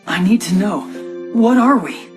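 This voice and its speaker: A man's voice: sits high, expressive, unhurried, soft background music. An actor playing an impulsive, anxious teenage son in a game cutscene, earnest and open with his feelings. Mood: worried, pleading. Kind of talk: video game cutscene acting.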